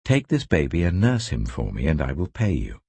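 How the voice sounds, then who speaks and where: A man voicing Pharaoh's compassionate daughter, gentle and decisive, in an audio scripture reading. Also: a bass voice, huge pitch swings, normal speed, husky, no room sound, heavily acted.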